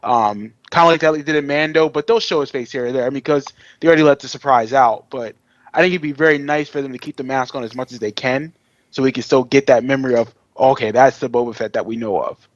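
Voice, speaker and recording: A man's voice: medium pitch, quick pace, no echo, dull audio. Slangy, enthusiastic man on a podcast. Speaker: podcast co-host. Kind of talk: casual fan podcast chat.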